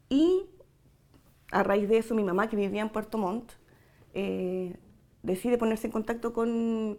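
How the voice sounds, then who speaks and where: Creaky-voiced Chilean woman, reflective and hesitant. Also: medium pitch, mellow. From Santiago, Chile. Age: 40s. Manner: reflective, hesitant, searching for words with long pauses. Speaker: interviewee giving testimony. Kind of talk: personal oral-history testimony.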